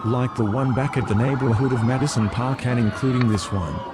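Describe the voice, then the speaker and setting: A man's voice: mid-range voice, brisk, over loud music, natural voice. A man playing a TV field reporter, giving a scripted, serious live report from a crime scene. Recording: very loud sirens and traffic noise. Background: police sirens, vehicles, street ambience.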